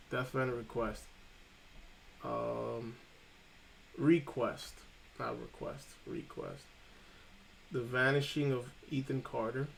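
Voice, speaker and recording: Casting note: male streamer with a gravelly, creaky voice, casual and rambling. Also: medium pitch, lively intonation, drawn-out words, long pauses, slight background noise, poor audio. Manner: rambling, chatty, says "freaking" a lot. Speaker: streamer reviewing trophy lists. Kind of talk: casual live-stream chat.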